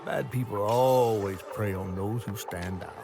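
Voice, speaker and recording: A man playing a folksy storyteller in a video game, with a Southern US accent and an expressive way of talking. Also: fairly low pitch, measured pace. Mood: grave, storytelling. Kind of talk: storybook-like game narration and dialogue. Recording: music behind.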